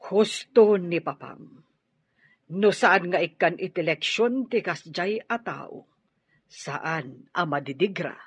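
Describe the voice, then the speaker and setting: Very husky, stern mother. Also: lowish voice, takes it slowly, dry recording, exaggerated voice. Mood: stern, indignant. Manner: firm, advising. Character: protective, stern mother urging her daughter to retaliate. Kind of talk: radio drama acting. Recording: dull lo-fi, dry radio drama audio.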